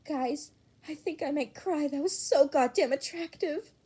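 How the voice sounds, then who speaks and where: A woman's voice: sits high, unhurried, mellow, voice pushed up. A woman voicing a gushing, dramatic teenage hero student who is lovestruck, in an audiobook. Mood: swooning.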